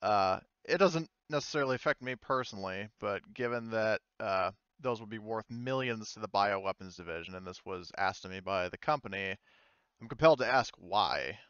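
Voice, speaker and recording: A man playing a measured company inspector in a tabletop game, questioning formally and haltingly. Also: lowish voice, animated, fairly bright tone, clear, resonant tone, no room sound, muffled recording. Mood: probing, formal.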